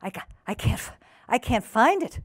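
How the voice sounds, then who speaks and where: A woman's voice: a little high, normal speed, dry recording, clean studio sound, pitched above the performer's own voice, natural voice. A woman voicing an anxious female dog from a storybook, overpolite and flustered, with a stammering, hesitant manner.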